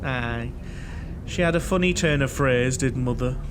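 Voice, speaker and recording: A man's voice: medium pitch, unhurried, background noise, natural voice. An actor in an audio play voicing a chatty, lonely, apologetic middle-aged Northern English man, naive and eager to please. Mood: rueful.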